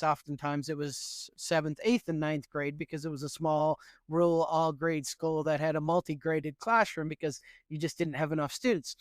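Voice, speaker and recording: A middle-aged man from Newfoundland, Canada, answering interview questions as an education professor in an earnest, animated way with frequent fillers. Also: on the high side, clear, resonant tone, no echo, clean studio sound.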